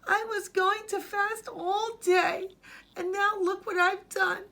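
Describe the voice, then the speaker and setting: A woman voicing an excitable, anxious little boy who exclaims plaintively in a children's storybook. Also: very high voice, slow, pitched above the performer's own voice, full character voice. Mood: tearful, distraught. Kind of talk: children's storybook read-aloud.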